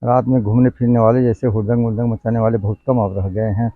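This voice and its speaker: A man with a medium-pitched voice answers informally and haltingly, like a local being interviewed. The audio is narrow and phone-like. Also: little pitch movement, no room sound.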